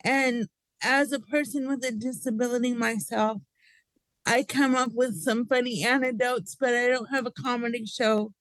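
High-voiced woman radio interviewer. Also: fairly even intonation, moderate pace, fairly bright tone, dry recording, poor audio. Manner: affirming, asks open questions.

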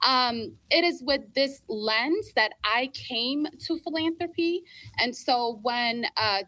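A woman's voice: a little high, sharp tone, dry recording, poor audio. A woman from Ethiopia who grew up in Minnesota, telling her story as an interview guest in an earnest, emphatic way, often checking in with the listener. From born in Ethiopia, grew up in Minnesota, US. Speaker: interview guest, philanthropy leader. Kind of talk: reflective personal storytelling in an interview.

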